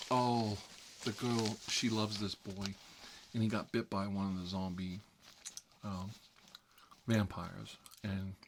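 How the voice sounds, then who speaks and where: A man's voice: on the low side, a little hiss or hum, lightly acted. A man with an American accent playing a chatty, hapless fellow who lives with his mother, rambling in folksy, opinionated small talk. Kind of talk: improvised roleplay monologue.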